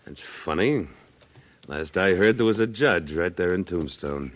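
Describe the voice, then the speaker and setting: Deep-voiced laconic Old West cattleman. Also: measured pace. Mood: cool defiance. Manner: laconic, deadpan, unbending. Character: tough, dry, independent cattleman of the Old West. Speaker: lead actor and narrator. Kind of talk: 1950s radio western acting. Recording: vintage radio drama recording.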